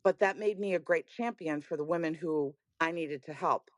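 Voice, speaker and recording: A woman's voice: low-pitched, normal speed, dry recording, clean studio sound. A woman, telling her story as a podcast guest in a candid, emphatic way. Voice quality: raspy voice. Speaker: guest, music booker. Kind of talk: podcast conversation.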